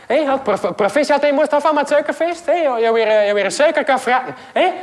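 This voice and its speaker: A man with a Flemish accent playing an overbearing, teasing neighbour in a stand-up bit, shouty, repetitive and needling. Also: very high-pitched, expressive, quick pace. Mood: pushy teasing. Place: large theatre stage.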